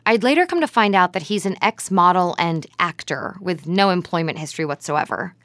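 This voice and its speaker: A woman's voice: fairly low pitch, animated, a little fast, fairly bright tone, dry recording, clean studio sound. An actress playing a matter-of-fact, confiding female narrator of a scripted mockumentary comedy podcast. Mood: wry, explanatory.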